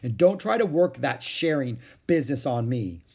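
A man voicing an exasperated, responsible older sister in a storybook reading, speaking in a sharp, scolding way. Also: mid-range voice, expressive, normal speed, gravelly, husky.

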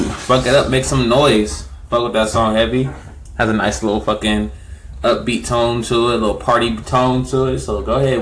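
A man's voice: medium pitch, fairly bright tone, slight background noise. A man hosting a music podcast in a casual, slangy, profane and repetitive way. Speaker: podcast host.

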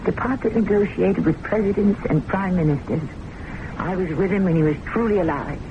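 An actress playing a weary, lovelorn secretary in an old-time radio drama, intense and wistful in manner. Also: female voice, on the low side, brisk, lightly acted. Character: weary, lovelorn confidential secretary, a distrustful woman patient. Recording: muffled, noisy old radio recording.

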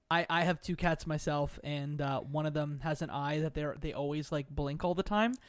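A man's voice: a little high, fairly even intonation, normal speed, no room sound, studio quality. A man guesting on a podcast, telling casual, self-deprecating personal anecdotes.

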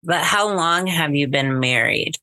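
A woman's voice: sits low, animated, a little slow, sharp tone, dry recording. A woman co-hosting a podcast, asking a guest friendly questions in a casual chat with some fillers. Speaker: podcast co-host.

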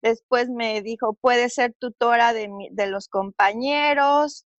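A woman's voice: fairly high pitch, steady tone, slow pace, no echo, highs cut off. A middle-aged woman from Mexico with a Mexican Spanish accent, telling her story earnestly as an interview guest, with fillers and restarts.

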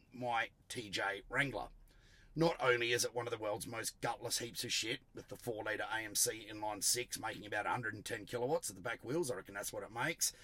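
Australian male podcast host, middle-aged, gravelly with a sore throat; punchy bright tone, blunt and salty, very dry recording. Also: mid-range voice, no echo. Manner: blunt, emphatic, salty-tongued. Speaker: host of an automotive tuning show. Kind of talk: casual solo podcast talk.

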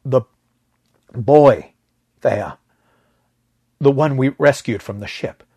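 Male narrator as male rescue pilot, husky, forceful, desperate, disbelieving, sci-fi audiobook. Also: mid-range voice, takes it slowly, dry recording, voice pushed up.

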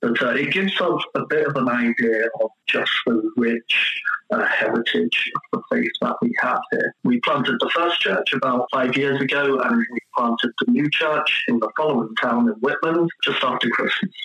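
A man's voice: medium pitch, normal speed, sharp tone, roomy sound, low-quality recording. A man with an English accent, a pastor answering interview questions in a friendly, chatty way. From east of England. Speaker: pastor, podcast guest.

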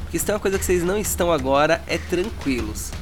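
Male game master, dramatic descriptive narration, slightly high voice, clear music underneath. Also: lively intonation, quick pace. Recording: with music bed.

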